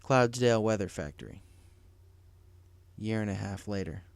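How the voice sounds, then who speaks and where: A man's voice: lowish voice, moderate pace, creaky, clean tone, no room sound. A man narrating a scripted story as an audiobook reader, in a dramatic storytelling manner. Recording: music behind the voice.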